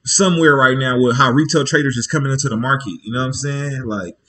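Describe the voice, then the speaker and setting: A man hosting a laid-back livestream, chatting in loose slang and thinking out loud. He rambles through restarts and keeps checking in with his viewers. His voice sits at an ordinary, middle pitch. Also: fast. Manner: loose and slangy, with frequent "you feel me" check-ins. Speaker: livestream host. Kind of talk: casual livestream chat.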